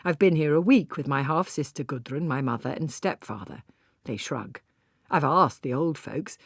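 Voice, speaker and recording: A low-pitched woman narrates an audiobook in the first person. Her reading is scripted and literary, and the sound is dry, with hardly any room in it. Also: normal speed, breathy edge, dry recording.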